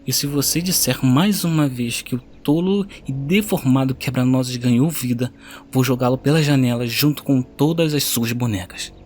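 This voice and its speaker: A man's voice: mid-range voice, moderate pace, a little mellow, a little hiss or hum, lightly acted. An actor playing a strict father in an audio drama, who speaks firmly and sternly. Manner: firm, stern.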